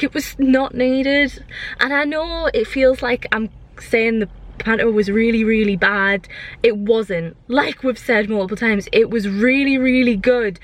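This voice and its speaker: A young woman chatting casually for a vlog, airing her opinions in an exasperated, emphatic way and repeating herself. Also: fairly high pitch. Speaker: vlogger.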